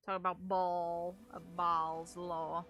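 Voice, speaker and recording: A woman's voice: fairly low pitch, level tone, slow pace, fairly bright tone, faint music behind. A woman giving casual gameplay commentary, blunt and sweary as she talks to the game. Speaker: game streamer.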